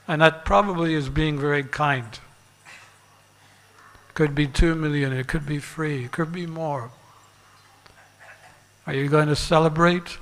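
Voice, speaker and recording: A man giving a religious sermon as a monk and teacher, deliberate, pausing and repeating phrases for emphasis. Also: on the high side, a bit bright. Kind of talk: sermon with parables and rhetorical questions. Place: large reverberant temple hall. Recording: long hall reverb.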